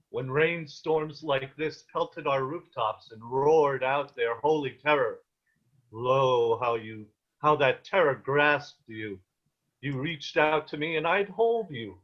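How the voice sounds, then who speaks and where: Man acting a slow-speaking, anguished peasant father. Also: mid-range voice. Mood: tender, nostalgic. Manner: ornate old-fashioned diction. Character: stern, anguished, protective peasant father of Joan of Arc. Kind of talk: dramatic table read of a period stage play. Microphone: video call. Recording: dull, lo-fi online call.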